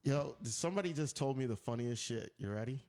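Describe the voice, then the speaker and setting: Animated man bantering on a talk show. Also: mid-range voice, fairly quick. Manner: animated, profane, teasing. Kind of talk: casual bantering chat.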